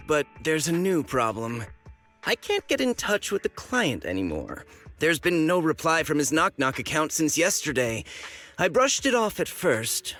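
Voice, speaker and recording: An actor playing an excitable, friendly young male information broker in a video game, with an animated, informal delivery. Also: a little high. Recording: music under the voice.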